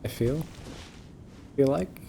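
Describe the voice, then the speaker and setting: A man's voice: medium pitch, slow pace, gravelly, mellow, slight background noise. A man doing casual Let's Play commentary, giving short offhand reactions as if half talking to himself. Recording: game music behind the voice.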